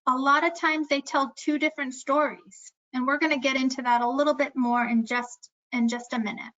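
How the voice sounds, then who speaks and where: High-voiced woman giving care training. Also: flat delivery, a bit bright, muffled recording. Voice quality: high-pitched voice. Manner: earnest, instructive, self-aware about speaking fast. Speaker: memory care presenter. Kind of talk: scripted educational presentation.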